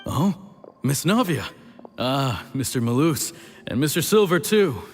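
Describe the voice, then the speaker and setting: A cheerful, polite townsman in a video game, full of gratitude. The voice is mid-pitched. It carries long reverb over faint music. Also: big pitch swings, unhurried.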